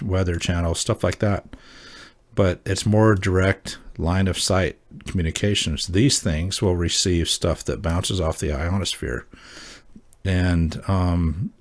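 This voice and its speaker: A man hosting a hobby video, explaining and demonstrating gear in a casual, rambling way as he thinks aloud. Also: low-pitched. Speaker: host of an amateur radio hobby channel.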